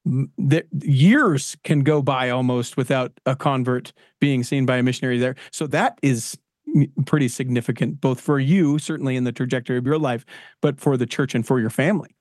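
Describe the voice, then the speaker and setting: A man's voice: mid-range voice, steady tone, normal speed, darkish tone, no room sound, studio quality. A man hosting a podcast interview, talking enthusiastically and rambling with many restarts. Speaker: podcast host. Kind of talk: casual interview.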